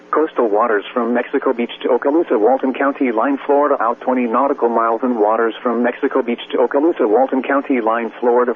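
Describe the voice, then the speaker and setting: A man's medium-pitched synthetic voice comes through a narrow, tinny radio channel. He reads an official weather warning in stiff, measured, clipped phrases. A hum and faint hiss sit behind the words. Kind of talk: formal scripted hurricane warning bulletin.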